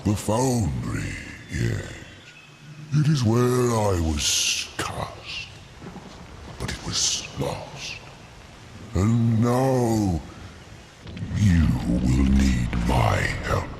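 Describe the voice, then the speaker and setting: An actor playing an ancient, weary, gentle stone giant in a fantasy game, with a deep gravelly voice and ponderous, halting speech. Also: male voice, sing-song, background noise, heavily acted. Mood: weary, dreamy. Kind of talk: fantasy video game acting. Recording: music behind the voice.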